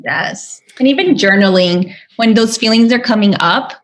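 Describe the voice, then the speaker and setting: A woman's voice: a little high, normal speed. A young adult woman from Venezuela, a podcast guest chatting earnestly with many fillers and restarts. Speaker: podcast guest, spiritual guide. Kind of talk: casual interview chat.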